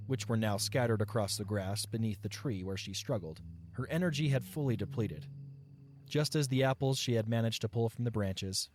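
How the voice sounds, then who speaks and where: A man's voice: mid-range voice, darkish tone. A man narrating a scripted fantasy audio story as a storyteller. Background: background music.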